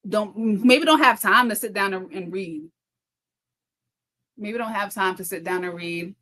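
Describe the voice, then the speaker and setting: A woman with a medium-pitched voice hosts an informal livestream. She reads comments aloud and answers them conversationally, tripping over words now and then and restating phrases for emphasis. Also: a bit bright. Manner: talks fast, stumbling and repeating herself. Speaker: livestream host and content creator. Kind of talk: casual live chat reading viewer comments aloud.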